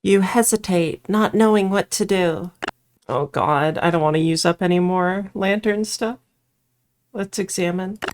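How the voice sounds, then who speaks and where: A woman's voice: fairly low pitch, a little slow, a little hiss or hum. A woman reading a horror visual novel aloud as she plays, mixing storybook-like narration with casual commentary. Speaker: player narrating a game playthrough.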